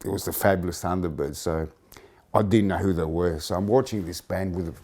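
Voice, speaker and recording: Low, mellow Greek-accented man reminiscing. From Greece. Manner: reminiscing, halting with restarts. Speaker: interviewee, blues musician. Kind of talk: casual interview.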